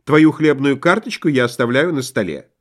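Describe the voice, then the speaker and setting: A man's voice: medium pitch, moderate pace, natural voice. Man voicing a cool, curt, exasperated wife. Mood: calm, dismissive. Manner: flat, dismissive, matter-of-fact.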